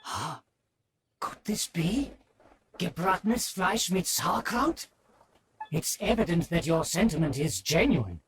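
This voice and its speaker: A man's voice: high voice, big pitch swings, slow, pauses often, fairly bright tone, slight background noise, heavily acted. A man voicing a pompous, haughty aristocrat with formal, archaic speech in a video game. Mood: delighted, dignified. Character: pompous, haughty aristocratic nobleman, easily flattered. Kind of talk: scripted video game character acting.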